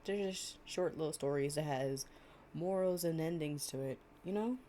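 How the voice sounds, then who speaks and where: A low, soft-edged, mellow voice from a young woman acting out an affectionate, chatty girlfriend. She talks in a casual, slangy, playful way, as in an intimate bedtime storytime roleplay. There is faint noise behind her. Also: moderate pace, close to an everyday voice.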